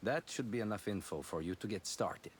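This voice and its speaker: An actor playing a calm, reassuring resistance contact in a video game, speaking without hurry. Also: male voice, fairly low pitch, fairly quick, a little hiss or hum, lightly acted. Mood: steady, wry. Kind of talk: cinematic game acting.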